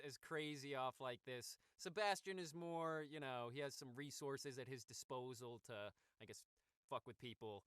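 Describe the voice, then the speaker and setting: A man who works as a voice actor, chatting casually in a hesitant, halting way. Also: mid-range voice, a bit bright, smooth, no room sound. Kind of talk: casual panel chat.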